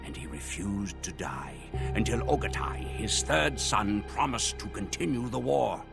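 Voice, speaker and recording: A man narrating a war campaign in solemn, measured scripted narration for game cutscenes. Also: on the low side, gravelly. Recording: game cutscene audio with loud music.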